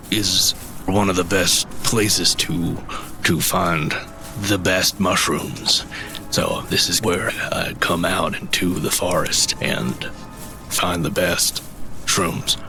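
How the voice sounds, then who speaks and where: Give the listener a reticent fantasy mushroom forager from an audio drama. His voice sits very low and he speaks haltingly, feeling for his words. Music plays clearly behind him. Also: fairly even intonation. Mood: nervous, halting. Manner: hesitant, halting, not much for talking. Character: shy, halting male mushroom forager and craftsman in a fantasy world.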